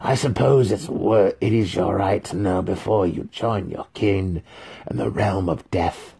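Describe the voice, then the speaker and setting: Fantasy audiobook villain: man performing a cruel, disfigured male dark-elf wizard, husky, growling, taunting, slow to savor each threat. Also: low-pitched, full character voice. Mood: menacing. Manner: growling, snarling, cackling, drawn-out taunting. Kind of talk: dramatic fantasy audiobook acting.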